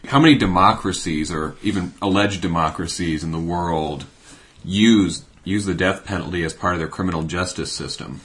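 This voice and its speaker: A man with a very low voice, moderating a panel. He steers the talk by asking the panelists questions. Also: normal speed, fairly bright tone.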